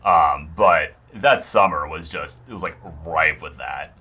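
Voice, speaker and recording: Deep-voiced, bright-toned male podcast guest. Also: measured pace. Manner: rambling, hedging, frequent "like". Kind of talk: casual podcast conversation.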